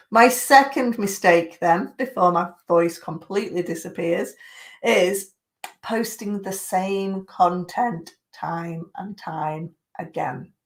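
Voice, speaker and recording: Woman, croaky voice, chest infection, chatty live-stream trainer, middle-aged. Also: fairly low pitch. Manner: chatty, greets viewers by name. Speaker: social media trainer. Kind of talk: live-streamed tips talk.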